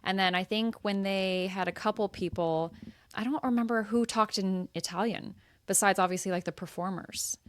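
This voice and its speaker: Young woman co-host, bubbly and chatty, mid pitch, dry close mic. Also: fairly even intonation, no room sound. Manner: chatty and bubbly, filler-heavy, quick to laugh. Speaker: podcast co-host. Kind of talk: casual chat.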